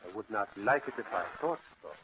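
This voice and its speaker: A man's voice: on the low side, expressive, natural voice. Man playing controlling sinister mentalist husband, silky and commanding, tinny noisy vintage radio drama. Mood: silky menace. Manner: commanding, silky, deliberate theatrical delivery. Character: menacing, possessive stage mind reader and controlling husband. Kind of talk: old-time radio thriller acting.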